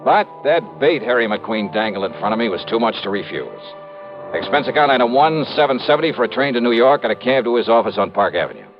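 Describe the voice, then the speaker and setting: An American actor playing a confident, wry insurance investigator in 1950s radio style, clipped and questioning. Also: male voice, mid-range voice, lively intonation, quick pace, lightly acted. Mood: brisk, businesslike. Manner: clipped, hard-boiled, questioning. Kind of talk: 1950s radio detective acting and narration. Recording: dull old radio recording with music.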